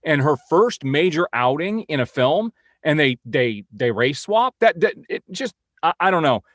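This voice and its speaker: A man's voice: fairly high pitch, big pitch swings, normal speed, a bit bright, no room sound. Man giving blunt, dry-miked commentary. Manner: blunt, opinionated. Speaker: host of a comic-book film news vlog. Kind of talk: informal news update and opinion commentary.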